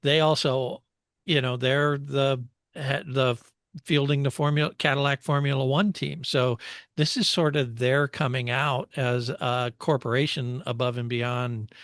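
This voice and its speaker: A man's voice: mid-range voice, fairly even intonation, unhurried, fairly bright tone, dry recording, studio quality. A man co-hosting a motorsport show in casual, conversational and analytical discussion.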